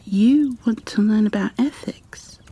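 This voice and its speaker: A woman playing a gentle, shy, bookish bookkeeper in an ASMR roleplay, soft-spoken and half-whispering, with a hesitant, apologetic way of talking. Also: mid-range voice, breathy edge. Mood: shy, rambling. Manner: hesitant, apologetic, halting, careful. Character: gentle, shy, bookish female creature bookkeeper, peace-loving and kindly.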